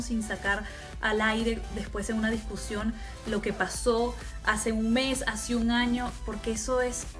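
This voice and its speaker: A young woman vlogging casually to camera, talking in a relaxed, chatty way and laughing now and then. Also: fairly high pitch. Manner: chatty, laughs often, mixes in English. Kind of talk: casual vlog talk to camera.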